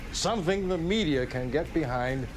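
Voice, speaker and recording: An actor playing a smooth, self-assured, power-hungry political director in a dramatic video game cutscene, speaking emphatically. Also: male voice, fairly high pitch, animated, moderate pace, noisy background, natural voice. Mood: insistent.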